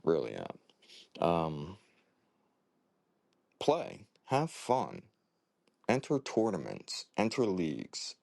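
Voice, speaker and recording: A man leaving a casual voicemail as a listener, talking haltingly in short clipped phrases. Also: deep voice, huge pitch swings, vocal fry, a little mellow, no echo.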